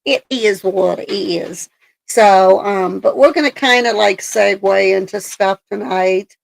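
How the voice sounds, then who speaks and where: A woman hosting a casual live stream, raspy-voiced after a coughing spell, rambling with lots of ums and likes. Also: mid-range voice, measured pace, low-quality recording. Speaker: streamer host.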